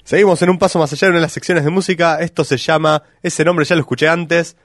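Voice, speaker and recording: A man's voice: fairly high pitch, steady tone, quick pace, very noisy. A man with a Rioplatense Argentine accent presenting a comedy radio show in a scripted announcing style.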